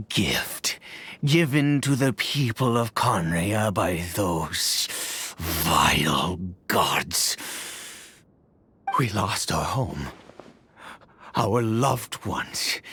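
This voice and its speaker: An actor playing a weary, bitter, cursed immortal nobleman and grieving father in a video game, with a theatrical, halting, anguished delivery. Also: on the low side, very animated, vocal fry, a bit bright, slightly rough, dry recording, exaggerated voice. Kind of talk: dramatic video game voice acting.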